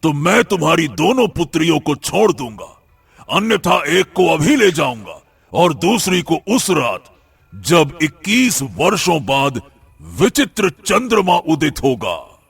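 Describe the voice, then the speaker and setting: Menacing cartoon shadow spirit, gravelly, swinging pitch, slow and taunting. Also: male voice, big pitch swings, no room sound, heavily acted. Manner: menacing, drawn-out riddling delivery. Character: menacing dark shadow spirit, male, taunting kidnapper of children.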